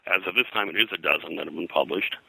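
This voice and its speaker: A man with a very deep voice and a hard, very bright edge speaks as an expert guest. He rambles and halts, repeating words as he explains in an informal interview. The sound is dry. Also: very fast, gravelly, husky, dry recording, poor audio. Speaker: guest, pop culture historian. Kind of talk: informal interview talk about pulp fiction history.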